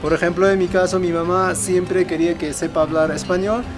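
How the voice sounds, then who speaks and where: A man's voice: high voice, fairly even intonation, a little fast. Peruvian Andean man guiding, music behind him. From Peru. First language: Quechua. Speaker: local guide and interpreter. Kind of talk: casual explanatory guiding. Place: outdoors in a valley town. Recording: music laid over the voice.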